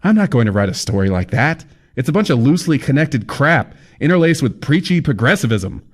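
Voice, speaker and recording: A man's voice: medium pitch, very animated, a little fast, voice pushed up. A man voicing a bewildered young male aspiring writer who questions everything in an exasperated way.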